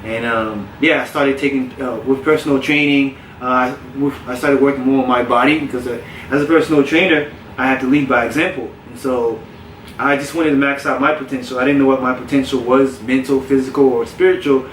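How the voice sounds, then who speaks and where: Man, personal trainer, candid storyteller, mid pitch, faint background ambience. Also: a little fast, slight background noise. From South Florida. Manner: relaxed, candid storytelling with frequent restarts. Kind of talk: casual podcast interview. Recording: roomy, distant mic with faint ambience.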